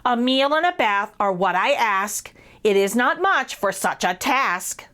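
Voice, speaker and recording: A woman voicing a cynical, world-weary male street mouse in a picture book, gruff and guarded. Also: fairly high pitch, lively intonation, measured pace, sharp tone. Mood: demanding.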